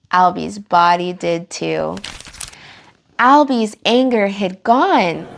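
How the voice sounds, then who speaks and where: A woman reading a picture book aloud for children, with animated, dramatic delivery and drawn-out emphasis on key words. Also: medium pitch, gravelly. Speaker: children's storytime reader and host. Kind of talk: expressive picture-book read-aloud for kids.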